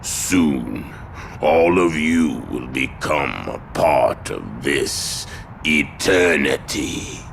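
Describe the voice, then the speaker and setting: An actor playing a sinister, pompous villain who worships the void in a video game, with a deep gravelly voice and grandiose delivery. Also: male voice, expressive, extremely slow, a bit bright, slight background noise, exaggerated voice. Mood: gloating, ominous. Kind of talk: theatrical video game voice acting.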